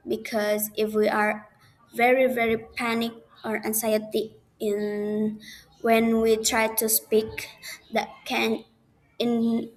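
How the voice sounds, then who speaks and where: A young woman speaks English with an Indonesian accent, pausing and restarting as she works through a class presentation. Her voice sits a little high, and she talks slowly and carefully. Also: poor audio. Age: young adult. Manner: hesitant, halting non-native English with restarts. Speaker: student giving a class presentation.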